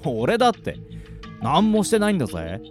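A man's voice: fairly high pitch, wildly swooping pitch, moderate pace, soft background music. A man playing a chatty, easygoing prisoner in a radio drama, familiar, casual and teasing. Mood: breezy teasing. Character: chatty, easygoing male prisoner, doting father.

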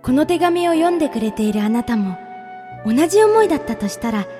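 A woman playing a dreamy, wistful young letter writer, reading in a gentle, measured and sincere way for a radio drama. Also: high voice, sing-song. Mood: dreamy, hopeful. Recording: long hall reverb under loud music. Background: prominent music.

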